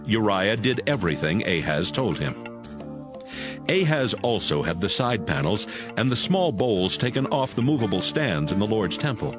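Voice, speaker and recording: A man's voice: on the low side, sing-song, moderate pace. A man narrating a dramatized audio Bible as a scripted storyteller. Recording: music under the voice.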